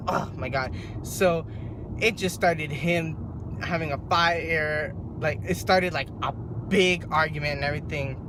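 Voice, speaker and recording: A chatty young man tells a story in a fairly high, lively voice, scattering filler words as he goes. He is recording from inside his car. A steady road noise runs under him the whole time. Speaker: vlogger. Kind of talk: casual storytime.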